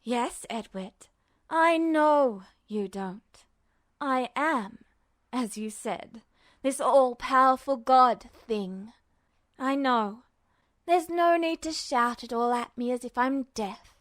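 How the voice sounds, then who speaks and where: A woman performs an inhuman, all-powerful entity from a fantasy audio story. It drawls with icy condescension and calm menace, taking its time over each taunt. The sound is dry and close. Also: high-pitched, slow pace, no room sound. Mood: mocking, disappointed. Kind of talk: audio fiction reading.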